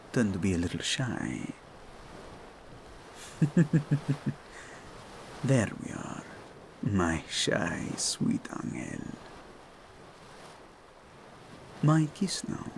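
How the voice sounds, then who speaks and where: A man's voice: fairly low pitch, gravelly, slight background noise, heavily acted. An actor playing a devoted, teasing king and husband in an intimate romantic audio roleplay, speaking tender endearments. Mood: flirtatious, tender. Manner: tender, playful, unhurried endearments.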